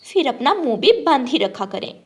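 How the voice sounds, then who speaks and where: A woman's voice: high-pitched, very animated, moderate pace. Woman as a bossy young daughter-in-law bird. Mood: rude, impatient. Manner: scolding, demanding.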